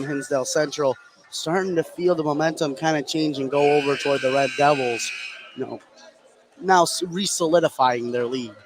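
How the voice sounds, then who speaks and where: A man's voice: a little high, poor audio. A man giving conversational, explanatory analysis as color commentator on a live basketball radio broadcast. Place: indoor basketball gymnasium.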